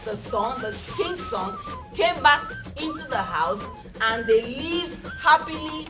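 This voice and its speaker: Distant mic under loud music, Nigerian woman, children's storyteller, high voice, hugely expressive delivery, dramatic. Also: slow pace. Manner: animated, dramatic, asks listeners questions. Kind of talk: interactive folktale storytelling for children.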